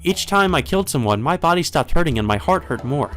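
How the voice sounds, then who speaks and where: Visual novel vampire schoolgirl, played by a man: raised pitch, chirpy, pleasant, quietly murderous. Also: lively intonation, fairly quick, soft background music. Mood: confessional. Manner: pleasant, delighted delivery of grim words. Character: cheerful teenage vampire girl, sweetly menacing killer. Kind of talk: visual novel character reading.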